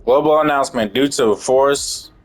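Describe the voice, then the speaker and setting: A man's voice: mid-range voice, moderate pace. A male gamer comments casually over gameplay in a mid-range voice. He talks bluntly, with slang and swearing, while music plays faintly in the background. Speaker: gamer reacting to game story. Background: faint game music.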